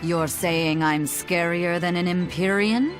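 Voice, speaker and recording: A woman's voice: lowish voice, takes it slowly. A woman voicing a sly, savvy sorceress in a video game, dry and languidly teasing. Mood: dry, imperious. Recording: game audio with music behind.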